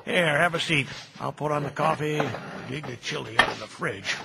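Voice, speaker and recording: An actor playing a kindly, folksy older cemetery caretaker in an audio drama, chatty and gentle in a homespun, nostalgic way. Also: male voice, a little high, normal speed, creaky, heavy background noise. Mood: warm, chatty. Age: middle-aged. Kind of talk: naturalistic radio drama dialogue.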